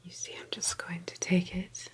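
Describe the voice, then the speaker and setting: A woman playing a meticulous, prim sci-fi office agent in an ASMR roleplay, whispering breathily in an unhurried, hesitant, officious but polite manner. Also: on the low side, little pitch movement, lightly acted. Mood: calm, methodical. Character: slow, meticulous, prim female time-travel office agent.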